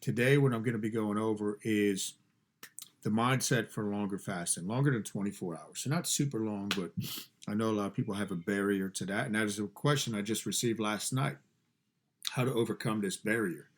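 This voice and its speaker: A man's voice: lowish voice, a little fast, slight background noise. A man hosting a podcast from his garage studio, sounding stuffed up and nasal from allergies. He talks in a casual, emphatic way, repeating key phrases and often restarting sentences. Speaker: podcast host and fasting coach. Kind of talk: casual motivational talk.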